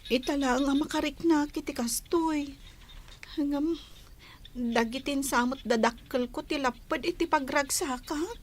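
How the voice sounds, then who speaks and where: Woman playing timid young daughter, lovestruck, high voice, emotional radio drama acting. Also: unhurried, a little hiss or hum. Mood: wistful, lovestruck.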